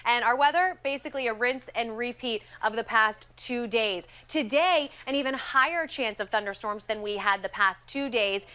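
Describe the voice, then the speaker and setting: A woman's voice: a little high, moderate pace, hardly any pauses, bright, forceful tone, clear, resonant tone. An American woman presenting a live weather forecast in a conversational broadcast style.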